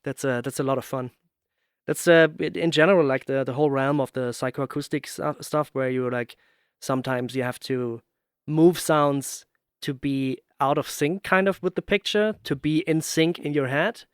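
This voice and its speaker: A man's voice: mid-range voice, normal speed, smooth, dry recording. Male podcast host, mid-range voice, hesitant conversational musing, dry room-free sound. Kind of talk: casual conversational interview.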